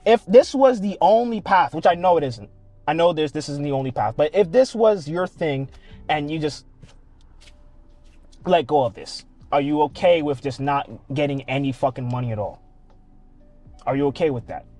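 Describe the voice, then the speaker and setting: A man's voice: on the high side, animated, normal speed, warm tone. A man co-hosting a casual podcast chat, musing aloud with frank, swearing talk.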